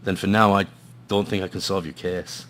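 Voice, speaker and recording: A man's voice: deep voice, level tone, normal speed, a bit bright, slight background noise. An actor playing a gloomy hard-boiled male detective, narrating and talking in a noir radio play with brooding drama. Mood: regretful.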